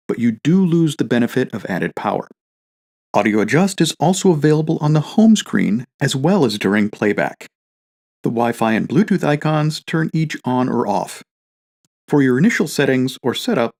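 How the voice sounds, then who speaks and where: A man reading a scripted product tutorial as a demonstrator, in a clear step-by-step instructional way. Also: medium pitch.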